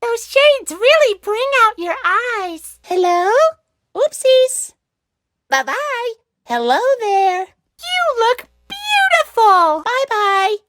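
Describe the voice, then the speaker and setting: A woman's voice: very high voice, sing-song, slow pace, fairly bright tone, no room sound, clean studio sound, exaggerated voice. A woman voicing a girlish, doll-like horror-game animatronic with a cutesy, singsong, creepily polite delivery. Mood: creepy sweet. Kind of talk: character voice lines for a horror game.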